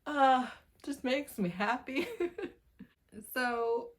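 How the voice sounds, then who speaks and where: A woman's voice: high voice, drawn-out words. A woman giving a casual book review in a giggly, gushing way, often repeating words for emphasis. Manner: giggly, laughs often while talking. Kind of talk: casual monthly reading wrap-up chat.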